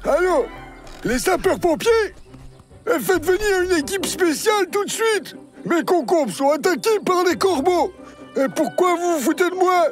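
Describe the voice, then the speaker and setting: A woman voicing a fussy, easily flustered old grandpa farmer in a dubbed cartoon, with a high, drawn-out delivery. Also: lively intonation. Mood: indignant. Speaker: dub actor. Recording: cartoon music score behind.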